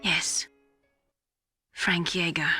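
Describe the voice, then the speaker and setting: A woman playing a lonely, secretive geneticist in a video game, speaking in a hesitant, confessional way that drops to a hush. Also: lowish voice, flat delivery, very slow, breathy edge, no echo, clean studio sound, exaggerated voice. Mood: sorrowful, bitter. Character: wounded, secretive adult female geneticist, lonely and bitter. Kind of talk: dramatic video game voice acting. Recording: music behind the dialogue.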